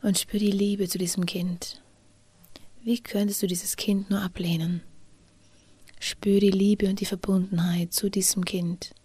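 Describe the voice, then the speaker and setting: A woman leading a guided inner-child meditation, speaking softly and often in a whisper, with gentle, drawn-out instructions close to the mic. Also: mid-range voice, flat delivery, breathy edge.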